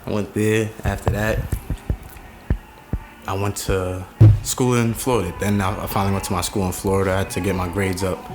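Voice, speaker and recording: A young man with a New York accent, a podcast guest telling stories in a relaxed, slangy way. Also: low voice, fairly quick, music in the background. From Staten Island, New York. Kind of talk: casual interview chat.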